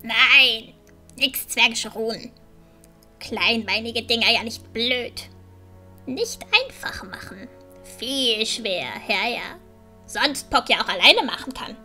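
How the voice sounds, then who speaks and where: A woman voicing an excitable, cheeky and greedy little dragon who chatters in broken, childlike grammar. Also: fairly high pitch, takes it slowly, soft background music, heavily acted. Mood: urgent.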